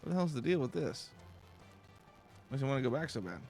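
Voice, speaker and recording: A man playing through a video game in a relaxed way, reading aloud and commenting casually. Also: mid-range voice, quick pace, gravelly, soft background music. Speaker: let's play streamer.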